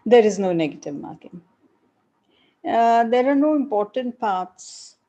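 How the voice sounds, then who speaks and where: A middle-aged woman professor with an Indian English accent and a breathy voice, answering student questions in a live online session with frequent hesitations. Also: fairly high pitch, animated, unhurried, dark tone. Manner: explanatory, repeats points with hesitations.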